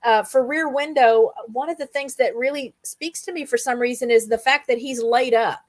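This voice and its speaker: A woman hosting a casual interview, speaking conversationally and reflectively, pausing in mid-thought. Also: high voice, no room sound. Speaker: host, interviewer.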